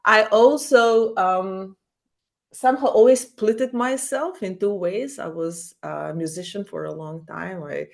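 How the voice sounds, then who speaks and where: A woman from Croatia speaking as a podcast guest, animated and full of fillers and restarts. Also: mid-range voice, vocal fry. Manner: animated, halting non-native English with many fillers. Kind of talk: casual interview.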